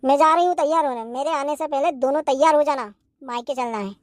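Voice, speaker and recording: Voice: sits high, a little fast, dry recording, studio quality, lightly acted. A performer voicing a hot-tempered young wife in a cartoon comedy, scolding and sarcastic. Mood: scornful scolding.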